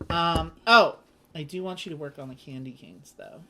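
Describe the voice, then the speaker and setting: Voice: medium pitch, normal speed, sharp tone. A host casually teaching and playing through a board game, chatty and informal, with enthusiastic asides.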